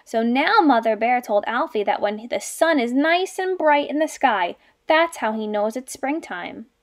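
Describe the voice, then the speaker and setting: High, animated young woman teaching small children. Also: a little slow. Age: young adult. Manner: expressive, addressing small children with questions. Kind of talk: story read-aloud and lesson for young children.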